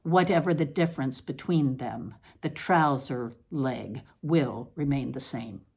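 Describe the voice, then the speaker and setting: A woman reading a scripted literary essay aloud, in a deliberate, measured manner with emphatic phrasing. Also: extremely low pitch, creaky, a little mellow, husky.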